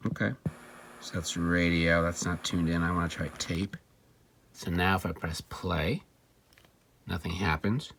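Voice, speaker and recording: A man giving a casual, off-the-cuff gadget demo, wry and excitable, talking to himself as he tinkers. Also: low-pitched, a little slow, gravelly, long pauses, a little hiss or hum. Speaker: vintage electronics seller demonstrating a device. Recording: music playing behind the voice. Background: music from the player being tested.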